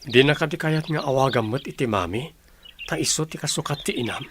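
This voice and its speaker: A man acts an earnest young ranch hand in a radio drama. His friendly voice sits at a medium pitch, with clear background noise and animal sounds. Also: brisk, a bit bright, natural voice. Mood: friendly, curious. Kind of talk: radio soap drama acting.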